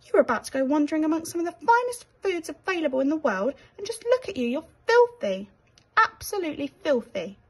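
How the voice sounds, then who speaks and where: A woman's voice: sits high, big pitch swings, fairly quick, voice pushed up, poor audio. A woman voicing a vain, fussy but kindly male rat in a children's story, with a haughty, chatty manner. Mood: disgusted, indignant.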